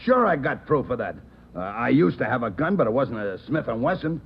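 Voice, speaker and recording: A man's voice: mid-range voice, very animated, brisk, gravelly, husky, full character voice. An actor playing a shifty male criminal suspect with a wheedling, streetwise way of talking in a 1940s radio drama. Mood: defensive. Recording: dull old radio broadcast.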